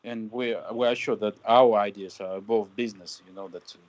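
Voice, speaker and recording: A man talking politics on a call in halting, simply phrased non-native English. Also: fairly low pitch, steady tone, no echo. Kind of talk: political conversation on a prank call. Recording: dull, dry call audio.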